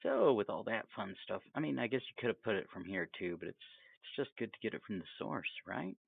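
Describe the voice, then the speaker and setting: A man giving a casual, off-the-cuff software tutorial, rambling along with chatty, self-amused asides. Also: lowish voice, lively intonation, brisk, gravelly, husky, no echo, phone-quality sound. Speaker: tutorial presenter.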